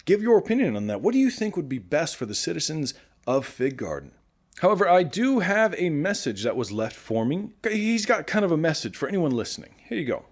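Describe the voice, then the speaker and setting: Guy doing a chatty nervous radio show host, audio drama, highish voice, really animated, trips over words a little. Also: a little fast. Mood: upbeat, chatty. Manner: chatty, stammering. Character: nervous, friendly male radio show host after the apocalypse.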